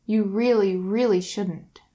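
A woman voicing a stiff, earnest young man who speaks bluntly and haltingly. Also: medium pitch, fairly even intonation, warm tone, pitched above the performer's own voice, lightly acted.

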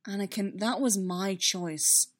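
A woman voicing a calm, concerned male mentor knight in an audiobook, quiet and reassuring. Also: medium pitch, unhurried, natural voice.

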